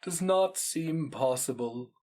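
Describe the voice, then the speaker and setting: A man voicing a vain schemer who feigns humble deference, measured and placating, in a dramatic fantasy reading. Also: on the high side, a little mellow, voice pushed up. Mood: feigned humility.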